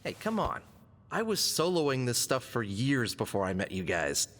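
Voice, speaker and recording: A man's voice: medium pitch, wildly swooping pitch, normal speed, a bit bright. A man playing a confident, wisecracking fox adventurer in an audio drama, quippy and self-assured in his delivery. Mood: resolved, breezy. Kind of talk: scripted audio drama dialogue. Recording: long hall-like reverb.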